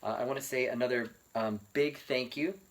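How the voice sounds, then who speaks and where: A man telling a children's picture-book story as a read-aloud, expressive and varied in his emphasis. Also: mid-range voice, normal speed.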